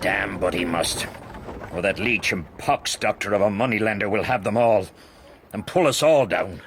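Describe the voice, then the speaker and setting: An actor playing a scheming, demanding Irish gentleman with an Irish accent in a period radio drama, gruff and peremptory in manner. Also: on the low side, moderate pace, noisy background, natural voice. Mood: suspicious, demanding.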